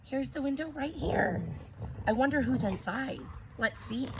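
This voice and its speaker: A woman teacher reading a picture book aloud to children, gently stopping to ask them questions. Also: high-pitched, animated, measured pace. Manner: gentle, asks children questions between pages. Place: outdoors with her dogs. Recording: dull lo-fi recording with clear background noise.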